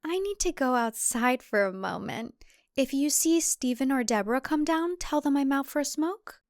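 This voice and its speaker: A woman voicing a quick-witted young heroine who is scheming her way out in a thriller story. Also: high-pitched, animated, a little mellow, no room sound, studio quality, voice pushed up. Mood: falsely sweet. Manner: falsely sweet, cooing, muttering when alone.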